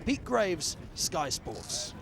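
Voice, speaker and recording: A man's voice: a little high, very animated, very slow, vocal fry, crowd noise. A British man narrating a scripted television sports news report.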